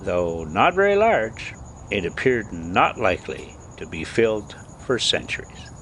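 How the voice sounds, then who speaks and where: Slow, expressive man reading a story. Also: fairly low pitch, a little hiss or hum. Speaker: storyteller reading a classic novel aloud. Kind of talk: scripted audiobook-style narration.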